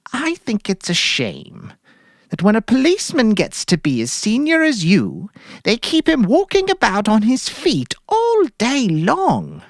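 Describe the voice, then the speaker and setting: A woman's voice: on the high side, wildly swooping pitch, unhurried, no room sound, clean studio sound, full character voice. A woman playing a devoted, anxious housewife who coaxes solicitously in a dramatic story reading. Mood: eager, solicitous. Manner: coaxing, solicitous.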